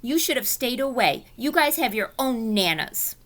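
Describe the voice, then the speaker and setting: A prissy, spoiled little schoolgirl in a storybook, voiced by a woman. Her raised, bright voice sounds whiny and indignant. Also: fairly high pitch, very animated, moderate pace, pitched above the performer's own voice. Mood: upset.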